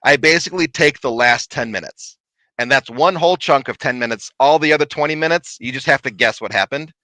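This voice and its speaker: A man telling a lively, laughing story from his paranormal investigations in casual chat. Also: medium pitch, brisk, dry recording. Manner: animated, laughing, big voice.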